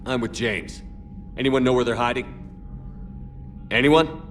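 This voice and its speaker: A man's voice: medium pitch, a little slow, faint music behind, natural voice. A man playing a battle-weary, resolute military commander in a dramatic video game. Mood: frustrated, commanding.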